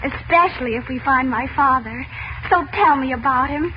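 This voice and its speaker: A woman's voice: high-pitched, moderate pace, noisy background, close to an everyday voice. An actress playing an innocent, trusting teenage girl in a 1940s radio serial, anxious and hesitant in her earnestness. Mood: anxious, earnest. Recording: dull old radio recording with music.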